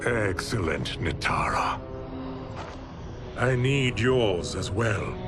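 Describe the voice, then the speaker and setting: Slow, sinister sorcerer villain. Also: male voice, fairly low pitch, expressive, music in the background. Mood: cold, commanding. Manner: formal, imperious. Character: sinister male sorcerer, cold, commanding and menacing. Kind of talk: video game villain acting.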